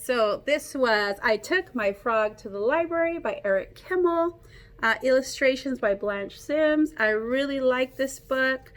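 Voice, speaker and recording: High-pitched, slow-paced woman reading to children. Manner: animated, playful, exclaims and coaxes young listeners to join in. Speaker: teacher reading aloud to children. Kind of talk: interactive children's picture-book read-aloud.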